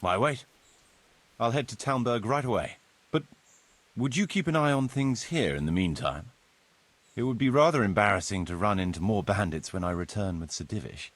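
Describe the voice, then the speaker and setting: Marius, husky formal medieval surveyor. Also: male voice, lowish voice, expressive, normal speed. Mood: eager, businesslike. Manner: formal, deferential, wordy, precise. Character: formal, courtly medieval locator Marius, eager planner and surveyor. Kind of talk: video game cutscene acting.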